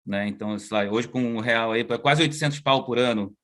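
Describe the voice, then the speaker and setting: A man's voice: mid-range voice, a little fast, fairly bright tone. Chatty Brazilian man on a video call. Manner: chatty, slangy, full of tag questions. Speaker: guest psychologist and researcher. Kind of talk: casual video-call interview. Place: outdoors at home, moving inside.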